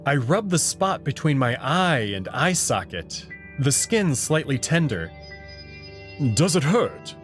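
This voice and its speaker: Slow, expressive man narrating over music. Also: medium pitch. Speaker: narrator and voice performer. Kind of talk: expressive narration and character reading of a visual novel.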